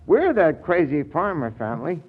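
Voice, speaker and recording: An actor playing a cranky, folksy crackpot farmer in a 1940s radio comedy, with an accusing manner. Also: male voice, fairly high pitch, sing-song, normal speed. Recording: dull vintage 1940s radio recording.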